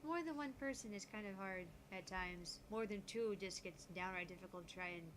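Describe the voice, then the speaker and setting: A woman's voice: mid-range voice, fairly quick. A woman chatting casually as a co-host on a let's play, picked up by a shared room mic. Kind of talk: casual chat. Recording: noisy room, off to the mic's side.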